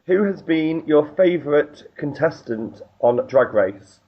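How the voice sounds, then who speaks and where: A man's voice: fairly high pitch, expressive, a little slow, husky, muffled recording. A man hosting a radio interview, asking questions and agreeing easily, often ending with tag questions. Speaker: radio host.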